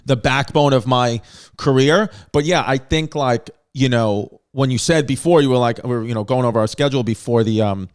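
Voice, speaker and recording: A man with a New York accent chats loosely as a comedy podcast host. He rambles, restarts and drops quick jokey asides. His voice sits at an ordinary middle pitch for a man. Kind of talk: casual comedic chat.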